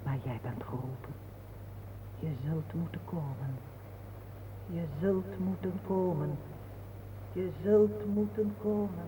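A man acting a sorrowful, patient parent in a radio drama, speaking in a solemn, poetic, measured way. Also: high voice, warm tone. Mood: solemn urging. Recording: narrow phone-like old radio recording, faint hiss.

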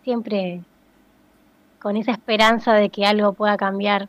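A woman's voice: mid-range voice, little pitch movement, unhurried, dry recording. Woman talking over a dull line. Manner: thoughtful, searching for words. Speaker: singer-songwriter guest. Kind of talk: casual interview over a phone line. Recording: dull, dry phone-line sound.

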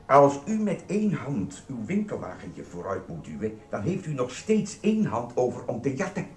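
Suspicious, animated security guard, echoey room. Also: male voice, medium pitch, normal speed. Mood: brusque, officious. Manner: brusque, suspicious, lecturing. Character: suspicious, officious, grumpy male supermarket security guard. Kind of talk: radio play acting. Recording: roomy echo, music behind.

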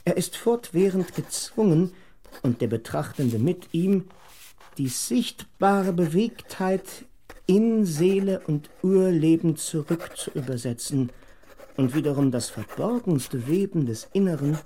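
An actor playing a solemn reciter of philosophical prose in a radio drama, measured and drawn-out. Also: male voice, on the high side, expressive, dark tone, a little hiss or hum.

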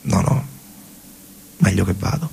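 A man's voice: unhurried, a little mellow, lightly acted. A man playing a timid, pleading teenage boy in a stage monologue. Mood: reluctant.

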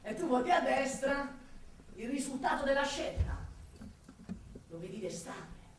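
An actress playing an impassioned woman torn between love and exasperation for her city, in a declamatory radio monologue with drawn-out, repeated words. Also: sits high, animated, slight background noise. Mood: taunting, then feverish, ominous. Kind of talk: dramatic theatrical radio monologue. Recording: roomy echo, distant from the mic.

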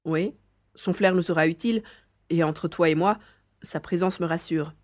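A woman playing a wary, grumbling sidekick investigator in a science fiction audio drama, with an expressive, questioning delivery. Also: fairly low pitch, moderate pace, lightly acted. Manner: expressive, questioning, self-talking. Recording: echoey room sound.

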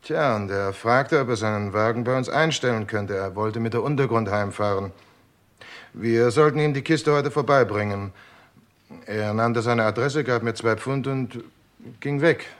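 An actor playing a nervous, hesitant petrol station worker, flustered and muttering, in a vintage German radio crime play. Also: male voice, medium pitch, moderate pace, lightly acted. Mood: nervous explaining. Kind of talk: 1950s-80s German radio crime drama acting.

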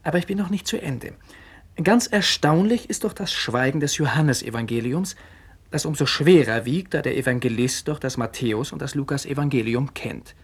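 An actor playing an earnest, critical young son with a husky voice, lecturing insistently and articulately in a radio play. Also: male voice, mid-range voice, animated, moderate pace. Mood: earnest arguing. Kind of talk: 1960s German radio play acting.

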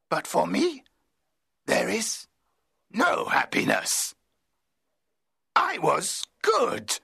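A man voicing a miserable, lonely monster made by a man, slow and bitter as he pleads and threatens in an audiobook. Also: a little high, bright, forceful tone, full character voice. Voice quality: gravelly, slow and brooding. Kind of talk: audiobook character voice.

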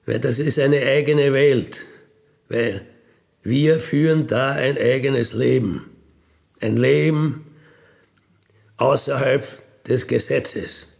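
A man with a gravelly voice, recounting in a blunt, matter-of-fact, colloquial way with emphatic tag questions. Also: mid-range voice, slow, pauses often, darkish tone. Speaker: ex-convict telling his experiences. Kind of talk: colloquial spoken monologue, recounting.

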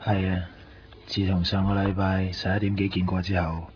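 An actor as a deep-voiced, self-assured finder. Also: male voice, flat delivery, moderate pace, background noise, lightly acted. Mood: cocky, teasing. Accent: Hong Kong Cantonese. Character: cocky, self-assured male finder of lost things. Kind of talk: 1990s radio drama acting and narration. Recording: dull lo-fi radio recording, music behind.

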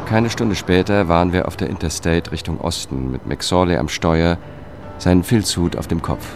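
Low-voiced investigator narrating. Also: male voice, normal speed, music in the background. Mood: matter-of-fact narration. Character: wary male government lawyer turned amateur investigator, courteous. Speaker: first-person narrator and lead. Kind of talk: radio drama narration and dialogue.

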